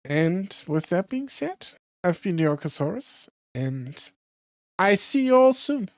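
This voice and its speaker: A hoarse voice reading a classic tale aloud on stream, careful and unhurried with the odd slip. The sound is dry and close. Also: mid-range voice, very animated, dry recording. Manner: slow careful reading with small slips. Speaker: streamer and reader.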